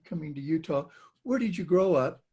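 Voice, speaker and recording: An older man from the American South moderating a forum Q&A, relaying audience questions in a halting way. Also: on the high side, lively intonation, dark tone, slightly rough. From Southern United States.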